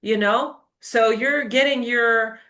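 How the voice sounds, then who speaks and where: American woman, English tutor, bright and emphatic, echoey room. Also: on the high side, takes it slowly. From United States. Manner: emphatic, encouraging, gives firm advice. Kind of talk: casual interview.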